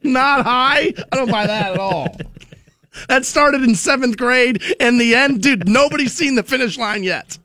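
A man's voice: very high voice, measured pace, bright, forceful tone, no room sound, studio quality. A man hosting a radio show, teasing his co-host in casual banter.

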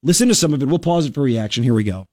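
A male radio host telling a story in casual talk-radio style, animated and full of quick asides. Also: mid-range voice, heavy background noise.

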